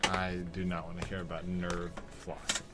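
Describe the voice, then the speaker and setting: A man's voice: sits low, monotone, moderate pace, heavy background noise. A man in his thirties hosting a gaming channel, chatting playfully while he plays. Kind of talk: casual gameplay commentary. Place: home recording room.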